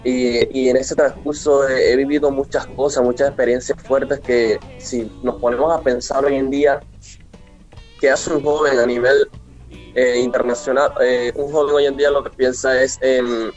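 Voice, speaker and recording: Male, early twenties, Venezuelan accent, mid-pitched, earnest devotional interviewee over faint music. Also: little pitch movement, moderate pace, a little mellow. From Mérida, Venezuela. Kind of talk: radio interview, devotional testimony. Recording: dull lo-fi remote link, music behind.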